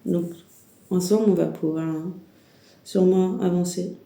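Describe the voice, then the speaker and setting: Gravelly, congested woman giving tarot guidance. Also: on the low side, steady tone, unhurried, dark tone, reverberant. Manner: hesitant, rambling, many restarts and fillers. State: stuffy head cold, sore throat. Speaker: tarot reader. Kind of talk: spiritual guidance monologue to the viewer.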